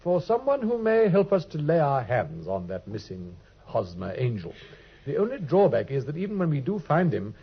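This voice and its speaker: A languid detective, wry and lecturing, lively rising and falling pitch, slightly husky, muffled old radio sound. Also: male voice, moderate pace, full character voice. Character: brilliant aloof male detective, languid and teasing. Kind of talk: 1950s radio drama acting.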